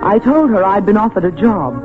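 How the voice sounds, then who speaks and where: A woman's voice: mid-range voice, expressive, a little fast, close to an everyday voice. An actress playing a genteel, impressionable young woman of 1900 in an old-time radio drama, politely and breathlessly admiring. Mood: confiding. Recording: tinny old radio recording, music behind.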